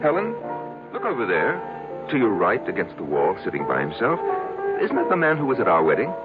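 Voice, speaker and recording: Meek lovestruck husband, gravelly, halting, swooping intonation, muffled old radio recording. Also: male voice, lowish voice, wildly swooping pitch. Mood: anxious, suspicious. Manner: halting, self-deprecating, intense. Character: short, insignificant, lovestruck, haunted male psychiatric patient. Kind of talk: old-time radio drama acting. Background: music.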